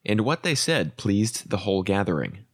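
A man co-hosting a Bible podcast over a video call, reading scripture passages slowly and evenly and chiming in with brief, low-key answers. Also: very animated, husky. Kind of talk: scripture reading and casual chat.

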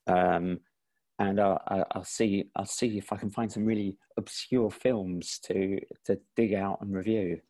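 A middle-aged man with a light Estuary English accent speaks in a lowish voice. He tells his story in a relaxed, casual way and often restarts his sentences. The sound is dry and close. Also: measured pace, no room sound. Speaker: guest, teacher and indie filmmaker. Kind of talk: casual interview.

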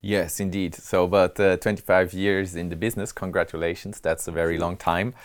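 A man with a deep, smooth voice hosts a podcast interview. He is friendly and teases his guest. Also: level tone, brisk. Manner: friendly, teasing. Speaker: podcast host.

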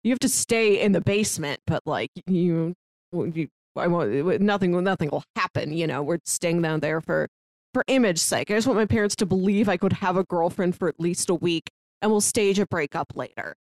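A woman's voice: on the low side, moderate pace, a little mellow, no echo. A woman co-hosting a casual film discussion, retelling scenes and slipping into bits of dialogue as she goes. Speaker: podcast co-host reviewing a film.

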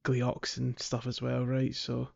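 A man hosts a relaxed gaming podcast with a mid-pitched voice. He talks casually and banters teasingly with his co-hosts. The sound is a little dull and lo-fi. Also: slow pace, gravelly. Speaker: podcast host.

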